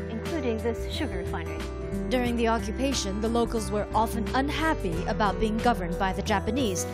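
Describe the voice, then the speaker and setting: Upbeat woman hosting travel show. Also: a little high. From United States. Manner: upbeat, chatty tour-guide delivery. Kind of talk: scripted travel narration. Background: loud background music.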